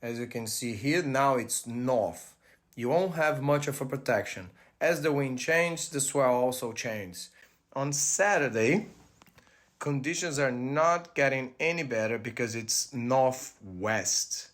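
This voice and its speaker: A man's voice: medium pitch, slow, fairly bright tone, dry recording. A young man from Brazil, a native Portuguese speaker with a Brazilian accent, presenting a coastal weather report in enthusiastic, slightly broken English. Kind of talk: casual presenting.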